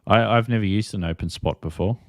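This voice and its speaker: Male livestream host, slightly deep, casual and unscripted, recorded dry. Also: fairly quick, no room sound. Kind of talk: casual live-stream chat about ham radio.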